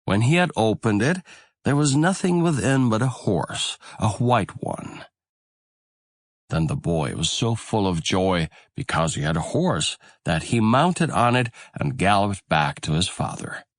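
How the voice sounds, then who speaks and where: Slow, expressive male storyteller, low voice. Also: dry recording. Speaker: audiobook narrator. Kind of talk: scripted fairy tale narration.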